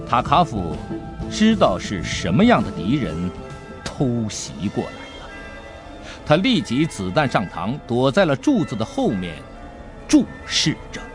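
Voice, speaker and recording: A man narrating an audiobook adventure story in an expressive, dramatic storytelling manner. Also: mid-range voice, a little slow, over music.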